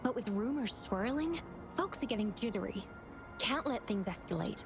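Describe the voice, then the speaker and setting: An actress playing a brisk, confident young woman who hands off a case, in a game voiceover. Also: on the high side, expressive. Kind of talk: game voice acting. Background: game music.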